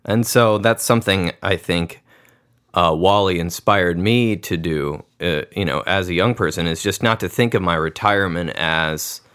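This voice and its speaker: Podcast host, young adult male, very low voice, reflective and unhurried, lots of "you know"s. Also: a bit bright, clear, resonant tone. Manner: thoughtful, musing, many fillers. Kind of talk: reflective conversation.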